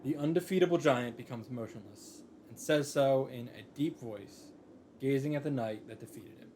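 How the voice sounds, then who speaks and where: A man's voice: medium pitch, normal speed, darkish tone, slight background noise. A man reading visual novel narration aloud in a dramatic style, reacting off the cuff.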